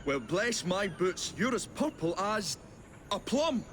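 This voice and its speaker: A man playing an eccentric, polite, nervy young Victorian gentleman inventor in a video game, with a British accent and a hesitant, wordy, theatrical way of talking. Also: high voice, sing-song, takes it slowly, background noise, exaggerated voice. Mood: mocking, playful. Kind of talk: video game cutscene acting.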